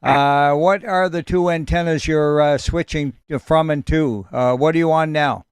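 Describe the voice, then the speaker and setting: A man acting as net control on a ham radio net, chatting in a friendly, folksy way with lots of fillers and pauses. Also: a little high, fairly even intonation, clear, resonant tone, no echo, studio quality. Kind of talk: radio net operating chat.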